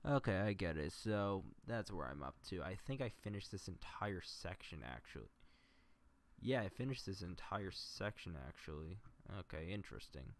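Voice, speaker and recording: A man's voice: deep voice, sing-song, moderate pace. A man talking casually on a livestream, explaining his editing as he goes and muttering to himself between explanations. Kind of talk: casual livestream tutorial, thinking aloud while editing.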